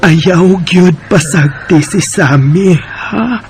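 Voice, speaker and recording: A man voicing a frail, dying elderly wife in a Bisaya radio drama, with a Filipino Bisaya accent and a weak, pleading, drawn-out delivery. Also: high voice, a little mellow, soft background music, exaggerated voice. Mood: tender, pleading.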